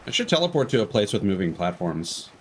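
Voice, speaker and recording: A man's voice: lowish voice, sing-song, fast talker, slight background noise. A man livestreaming a video game with casual commentary, rambling and analytical as he gives his opinions. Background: video game music.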